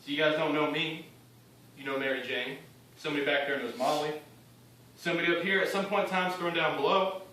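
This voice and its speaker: A man performing a theatrical monologue as a cynical, bitter young drug dealer, defiant and speaking in clipped phrases with pointed pauses. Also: a little high, fairly even intonation, bright, forceful tone. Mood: defiant, smug. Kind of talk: theatrical monologue addressed to an audience. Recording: very echoey room, distant mic, faint noise.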